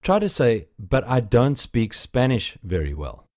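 A man's voice: medium pitch, wildly swooping pitch, takes it slowly, darkish tone. A man narrating a language course, giving patient scripted prompts and encouragement. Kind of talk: scripted instruction.

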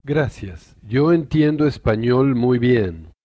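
A man's voice: medium pitch, animated, no echo, highs cut off. A man modeling short phrases for a language course, speaking each one carefully and then repeating it. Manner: careful, slow model sentences, each said twice.

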